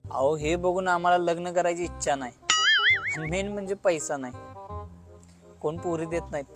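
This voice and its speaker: Man playing a high-voiced cheeky auto-rickshaw driver. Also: steady tone, normal speed, loud music behind the voice, lightly acted. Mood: wry, boastful. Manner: wisecracking, colloquial. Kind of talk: comic cartoon dialogue.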